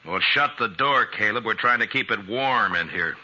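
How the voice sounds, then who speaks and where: An actor playing a steady American frontier marshal in a 1950s radio western, plain-spoken and dry in manner. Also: male voice, lowish voice, moderate pace, natural voice. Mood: grave, dry. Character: steady, fair-minded frontier US marshal, sardonic lawman. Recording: muffled, noisy 1950s radio recording.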